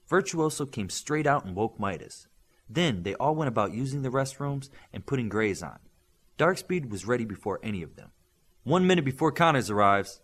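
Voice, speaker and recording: A man narrating a scripted fiction audiobook, animated when he moves into dialogue. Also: medium pitch, husky.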